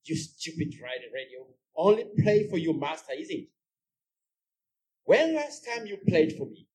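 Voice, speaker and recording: A man voicing a frustrated, lonely woman at home, with exasperated, scolding outbursts in a husky voice. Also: fairly high pitch, big pitch swings, unhurried, darkish tone, heavily acted, rough recording.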